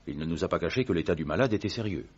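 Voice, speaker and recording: A fast-talking husky brother, lively pitch, muffled old radio sound. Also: male voice. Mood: explaining. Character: anxious brother of the patient, young man. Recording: dull vintage radio recording.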